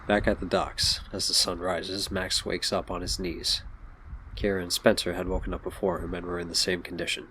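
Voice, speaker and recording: A man's voice: deep voice, little pitch movement, moderate pace, pushed and bright, slight background noise, poor audio. A man delivering scripted narration as the narrator of an audio drama.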